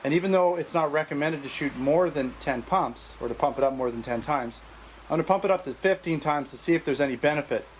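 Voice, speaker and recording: Slightly high-voiced man presenting, faint noise. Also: fast talker. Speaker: airgun video reviewer. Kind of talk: product test presentation. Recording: noisy video audio with background ambience.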